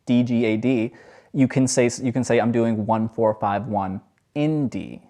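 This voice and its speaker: A man with a low voice explains music theory in a loose, chatty way and pokes fun at his own slips. His pitch sits in the middle range for a man. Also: a little slow, dark tone. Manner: loose, joking, self-deprecating. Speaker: music teacher. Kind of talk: casual music lesson.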